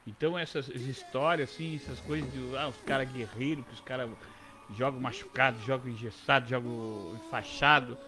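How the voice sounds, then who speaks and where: A man's voice: mid-range voice, steady tone, normal speed. A man in his fifties with a southern Brazilian accent telling stories casually on a podcast, emphatic and repetitive. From Criciúma, southern Brazil. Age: 50s. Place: small indoor podcast studio with several people at a table. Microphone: close studio mic on boom arm.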